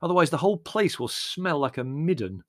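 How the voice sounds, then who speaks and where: A man's voice: a little high, big pitch swings, fairly quick, no room sound, clean studio sound, pitched above the performer's own voice. A man voicing a haughty, complaining older brother in an audiobook, pompous and indignant.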